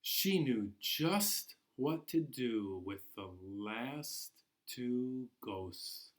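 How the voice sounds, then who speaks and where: A man leads a children's storytime at a very slow, deliberate pace. His voice sits in a middle male range, and he reads expressively, giving phrases room to land for young listeners. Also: medium pitch. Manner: animated, expressive, encouraging toward children. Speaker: library storytime host. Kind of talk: children's storytime, reading a picture book aloud.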